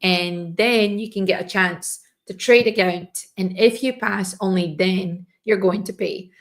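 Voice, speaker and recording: A woman hosting a live trading stream, losing her voice and clearing her throat as she explains in a loose, restarting way. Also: medium pitch, fairly even intonation, a little slow.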